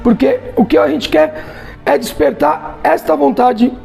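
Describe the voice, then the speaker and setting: Gravelly high-voiced Brazilian man. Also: unhurried, darkish tone, soft background music. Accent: Brazilian Portuguese. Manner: chatty, tags sentences with "né" and "tá". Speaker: comic book reviewer. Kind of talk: casual video review.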